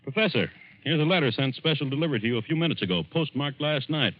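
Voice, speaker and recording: An actor playing a brisk, authoritative police lieutenant with clipped speech in a 1940s radio crime drama. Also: male voice, fairly high pitch, animated, lightly acted. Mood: brisk, probing. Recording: dull 1940s radio broadcast.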